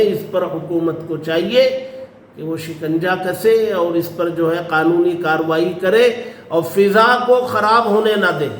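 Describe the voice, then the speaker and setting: High-pitched man, earnest cleric, roomy sound. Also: fairly bright tone. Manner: earnest, formal Urdu diction, halting phrasing. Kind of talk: public statement to media. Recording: roomy echo, faint background noise.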